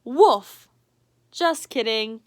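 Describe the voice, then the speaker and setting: A woman's voice: fairly high pitch, expressive, drawn-out words. A woman voicing a bossy, chatty family dog in a picture book, cheeky and emphatic. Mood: playful.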